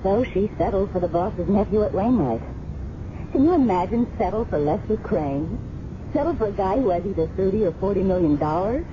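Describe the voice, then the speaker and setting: An actress as a shaken American woman in a seventies radio play, speaking of her murdered roommate. She is candid and confiding, with some hesitation. The sound is dull and clearly noisy. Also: medium pitch, lively intonation, brisk, natural voice. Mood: candid, troubled. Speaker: radio drama actor. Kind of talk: 1970s radio drama acting. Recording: muffled, noisy 1970s radio broadcast recording.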